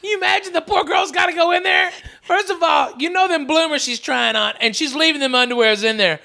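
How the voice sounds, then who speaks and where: A woman's voice: sits high, fairly quick, vocal fry, pushed and bright. A woman podcast host, riffing crudely and profanely, exaggerating everything and laughing through her lines.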